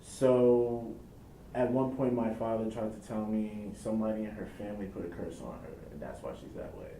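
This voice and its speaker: Young guy, low mellow voice, thinking out loud, sounds far off in an echoey room. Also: normal speed. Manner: reflective, speaking in loose run-on thoughts. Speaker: interviewee. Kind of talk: casual interview answers. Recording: echoey room, mic at a distance, faint rumble.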